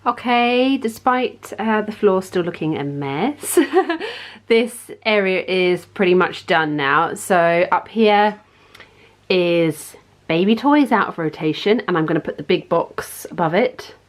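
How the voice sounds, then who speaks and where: A woman's voice: lowish voice, expressive, slow. A woman giving a casual, informal tour at home, explaining things in a chatty way as a parenting vlogger. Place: indoors at home, in a playroom.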